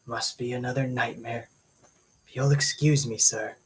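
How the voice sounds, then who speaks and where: A man playing a gaunt, sorrowful old butler in a gothic audio drama, speaking in a hushed, formal way. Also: mid-range voice, fairly even intonation, moderate pace, noisy background, close to an everyday voice. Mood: mournful.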